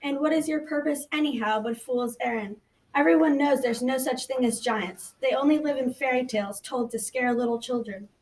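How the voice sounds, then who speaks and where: A woman's voice: sits high, moderate pace, darkish tone, close to an everyday voice. A young female student playing a sly, coaxing enchanted fruit tree in an amateur school radio drama. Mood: scornful. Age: child or teen. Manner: coaxing, taunting. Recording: distant from the mic.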